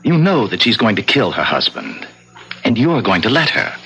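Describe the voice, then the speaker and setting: An actor playing a sly, mocking otherworldly tempter in a 1970s radio drama, sardonic and raspy. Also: male voice, on the low side, huge pitch swings, normal speed, bright, forceful tone, a little hiss or hum, full character voice, poor audio. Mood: needling. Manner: sardonic, needling. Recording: vintage 1970s radio broadcast.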